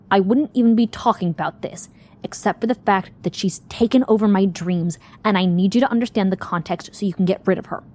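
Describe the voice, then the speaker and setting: Woman acting as bitter female patient, audio drama monologue, medium pitch, defensive, blunt, emphatic, sardonic. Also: darkish tone, close to an everyday voice. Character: bitter, defensive older sister, a female sleep-study patient.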